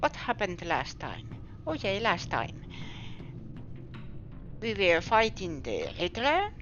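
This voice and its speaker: A woman's voice: on the low side, huge pitch swings, slow pace, fairly bright tone, dull audio, soft background music. A woman with a Finnish accent, Finnish her first language, chatting casually on a game stream and searching for her words. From Finland. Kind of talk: casual live-stream chat while gaming.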